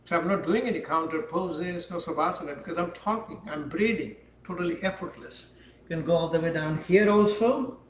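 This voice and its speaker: A man teaching a yoga class, speaking calmly and unhurriedly while he demonstrates the poses. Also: on the high side, a little hiss or hum. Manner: calm, unhurried instruction while holding poses. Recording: echoey room, voice from far off.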